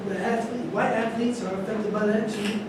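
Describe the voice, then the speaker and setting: A man's voice: steady tone, normal speed, close to an everyday voice. A man acting in a staged reading as a matter-of-fact ex-athlete in conversational dialogue. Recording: echoey room from far off, rumbling noise.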